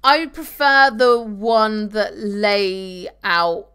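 A woman's voice: mid-range voice, steady tone, very slow, a bit bright, smooth. A young woman streaming a game from home, chatting casually and reading out viewer comments into a desk microphone. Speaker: gaming streamer. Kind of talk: casual live-stream chat while playing. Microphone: desk microphone on a webcam stream.

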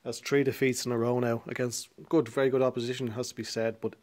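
A man interviewing a manager for club TV, asking polite, measured post-match questions into a handheld mic. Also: medium pitch, little pitch movement, darkish tone.

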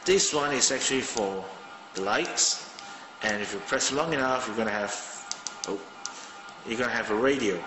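A man's voice: medium pitch, moderate pace, pauses often. A man in his thirties from Malaysia, chatting casually through a gadget review at his desk and thinking aloud with fillers, filmed on a handheld phone. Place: indoors at a home desk. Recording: roomy with long reverb, music behind.

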